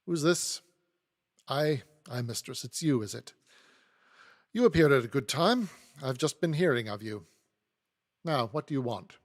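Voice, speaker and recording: A man's voice: mid-range voice, lively intonation, measured pace, pauses often, in a large hall. A man playing a dry, domineering older lawyer who speaks brusquely and with condescension. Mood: curt.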